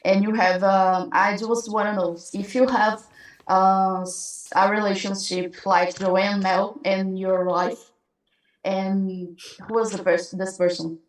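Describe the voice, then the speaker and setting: A woman fan from Brazil with a Brazilian Portuguese accent, asking a question in nervous, halting English on a video call. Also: medium pitch, little pitch movement, heavy reverb, far from the mic, rough recording. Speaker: fan caller.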